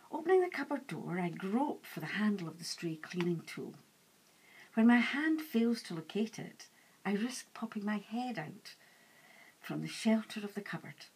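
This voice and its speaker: Husky woman reading a novel, very expressive. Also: fairly low pitch. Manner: animated, dramatic storytelling delivery. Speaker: author reading own novel aloud. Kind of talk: expressive audiobook-style first-person narration.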